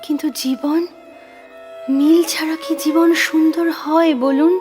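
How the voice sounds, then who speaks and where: An actress playing a fragile, poetic, troubled young woman in an audio drama, brooding and intense, with halting repeated words. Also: high-pitched, level tone, a little mellow, soft background music. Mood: anguished. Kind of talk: Bengali audio drama acting.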